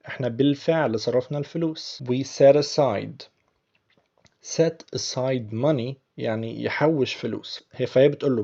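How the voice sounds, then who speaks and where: A man's voice: mid-range voice, a little mellow, dull audio. A man with an Egyptian Arabic accent, patiently explaining English phrases word by word as a teacher.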